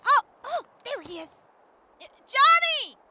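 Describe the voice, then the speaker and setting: A woman's voice: extremely high pitch, sing-song, measured pace, very noisy, heavily acted. An actress playing a blunt, sardonic young female medic in a post-apocalyptic radio drama. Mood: gentle. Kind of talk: audio drama acting.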